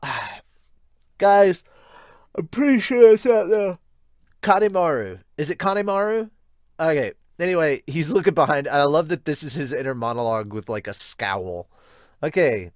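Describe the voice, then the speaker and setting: Man, slightly high voice, casual podcast host, amused and excitable, dry close sound. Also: normal speed, dry recording. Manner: amused, chuckly, excitable asides. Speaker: host of a manga review podcast. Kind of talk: casual manga read-along and reaction commentary.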